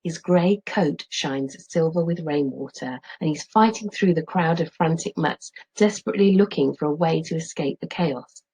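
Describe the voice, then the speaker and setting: A woman's voice: deep voice, moderate pace, hardly any pauses, darkish tone, husky, rough recording. A middle-aged woman, a teacher reading a children's story aloud expressively.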